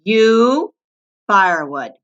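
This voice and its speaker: A woman voicing a scruffy, bad-tempered male varmint from a storybook, gruff, snarling and curt. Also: medium pitch, sing-song, very slow, lo-fi sound, voice pushed up. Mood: gruff, impatient. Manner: snarling, curt, barking orders.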